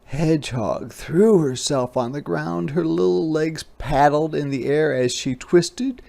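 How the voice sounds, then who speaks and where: Slow-paced man with a creaky voice, reading aloud. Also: a little high, dark tone. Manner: explanatory, asks listeners questions, stretches key words. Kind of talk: interactive children's read-aloud.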